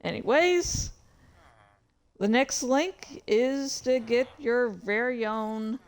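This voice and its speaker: Slow-talking woman rambling on a livestream. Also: fairly high pitch. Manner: halting, easily sidetracked. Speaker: livestream host and fan fiction reader. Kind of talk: casual rambling stream chat.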